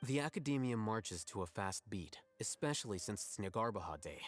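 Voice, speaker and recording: An actor playing a composed, brisk, knowledgeable man who guides others in a video game, formal and matter-of-fact. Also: fairly low pitch, expressive, soft background music, lightly acted.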